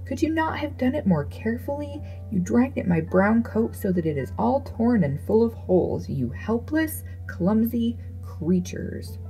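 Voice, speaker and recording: Woman putting on a shrill, snarling little dwarf man. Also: sing-song, measured pace, few pauses, mellow, rough recording. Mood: scolding. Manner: snarling, scolding, grumbling insults. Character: ill-tempered, ungrateful little old dwarf man with a beard. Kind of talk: fairy tale storybook reading. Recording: music behind the voice.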